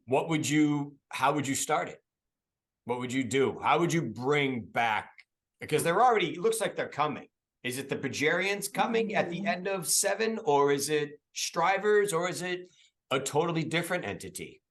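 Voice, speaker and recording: A middle-aged man with a Boston accent hosting a podcast, chatty and quick with questions, laughing often. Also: a little high, lively intonation, a bit bright. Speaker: podcast host. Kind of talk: casual interview chat.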